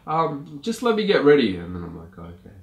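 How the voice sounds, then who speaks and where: A man's voice: mid-range voice, huge pitch swings, a little fast. A man in his thirties co-hosting a casual question-and-answer vlog, laid-back and jokey, laughing often. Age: 30s. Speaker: vlogger, co-host with partner. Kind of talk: casual Q&A chat. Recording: echoey room.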